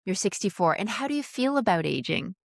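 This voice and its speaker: A woman's voice: mid-range voice, big pitch swings, brisk, creaky, no room sound, clean studio sound. A woman of about sixty hosting an interview podcast, moving between a prepared introduction and conversation with a guest. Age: around 60.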